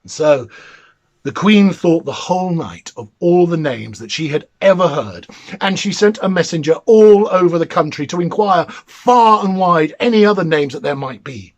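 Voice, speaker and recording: Husky, theatrical male storyteller. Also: on the high side, lively intonation, no room sound. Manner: theatrical, deliberate phrasing. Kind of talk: dramatic fairy tale reading.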